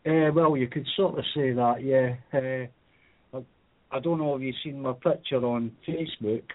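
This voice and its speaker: A man's voice: mid-range voice, normal speed. A man with a British accent calling a radio show for casual, joking chat. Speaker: caller. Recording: tinny phone line.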